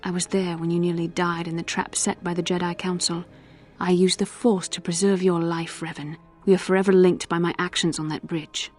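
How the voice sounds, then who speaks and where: An actress playing a proud, seductive fallen Jedi in a video game, speaking with intense, deliberate delivery. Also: female voice, on the low side, flat delivery, a little mellow, faint music behind, natural voice. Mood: dark, intimate. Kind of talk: dramatic video game acting.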